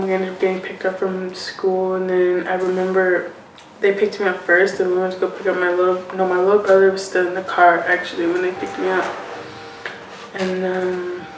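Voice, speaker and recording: A young woman speaks softly in a mid-pitched, very flat voice. She is hesitant, pausing on fillers and correcting her own details. The mic is a bit distant in a roomy space with faint hiss. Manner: soft-spoken, hesitant, corrects herself. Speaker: interviewee. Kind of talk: personal storytelling interview.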